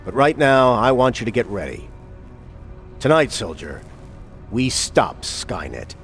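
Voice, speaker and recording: An actor playing a solemn, resolute resistance commander in a video game, speaking in a measured, commanding way. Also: male voice, mid-range voice, sing-song, faint music behind. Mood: grave, commanding. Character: grave, resolute male human resistance commander. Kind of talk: dramatic video game acting.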